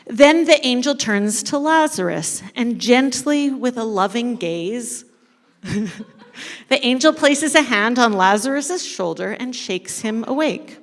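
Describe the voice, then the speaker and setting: A woman giving a playful, dramatic live narration of a story, pausing deliberately as she leads an interactive reading. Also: a little high, lively intonation. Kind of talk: theatrical live scripted narration of a parable. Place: large indoor church space before an audience. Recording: echoey hall with long reverb.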